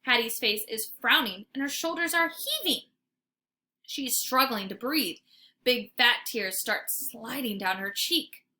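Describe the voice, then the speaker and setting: A woman's voice: a little high, a little slow, bright, forceful tone. A woman reading aloud as a teacher would, narrating a children's story expressively with dramatic emphasis.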